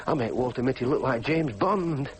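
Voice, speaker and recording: An actor playing a sullen, self-doubting young working-class son with a Yorkshire accent, brooding and argumentative in a naturalistic drama. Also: male voice, mid-range voice, expressive, moderate pace, close to an everyday voice. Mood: brooding, wistful. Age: young adult. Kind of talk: naturalistic drama acting with monologue. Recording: faint background noise.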